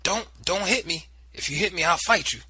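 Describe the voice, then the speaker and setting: A man's voice: high-pitched, normal speed, sharp tone, pitched above the performer's own voice. A man voicing a frightened, defiant boy pleading not to be beaten.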